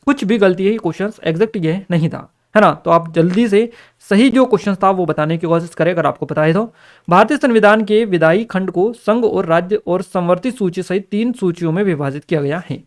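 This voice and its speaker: A man teaching exam questions as a channel host, partly reading from notes, repeating key points and addressing students directly. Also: high-pitched. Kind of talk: explanatory lesson, partly read from notes.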